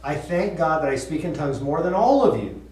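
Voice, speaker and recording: A man leads a relaxed Bible study in a reverberant room, heard from some distance away. His voice sits a little high and moves up and down a lot. He talks chattily, laughs often and restarts his sentences. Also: a little fast. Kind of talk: casual Bible study teaching. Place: room with group listening. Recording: echoey room, voice from far off.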